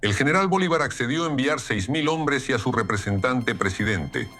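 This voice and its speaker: Bright-voiced formal minister. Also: male voice, medium pitch, expressive, moderate pace, faint music behind. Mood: factual, guarded. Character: formal male minister soberly reporting on diplomacy to his president. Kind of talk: historical audio drama acting.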